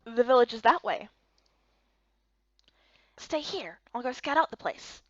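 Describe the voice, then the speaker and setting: Woman playing a touchy, animated young male companion. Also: on the high side, a little slow, no echo, muffled recording. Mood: cautious, firm.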